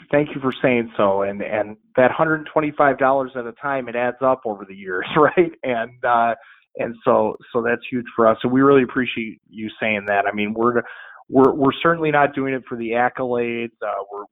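A man guesting on a podcast, talking in a casual, upbeat way, often repeating words as he plugs his show. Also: mid-range voice. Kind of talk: casual promotional interview talk. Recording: phone-like band-limited audio.

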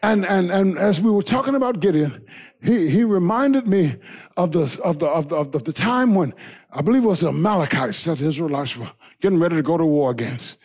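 A man preaching as pastor, building into a shouting, half-sung whooping cadence and then dropping to a quieter storytelling tone. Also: lively intonation, brisk, breathy edge. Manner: drops to low conversational storytelling. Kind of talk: sermon.

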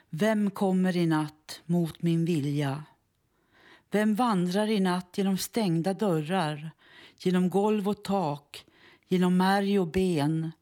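A woman reciting a poem in a measured, solemn manner. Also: on the low side, a little mellow. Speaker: poetry reader. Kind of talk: poetry recitation.

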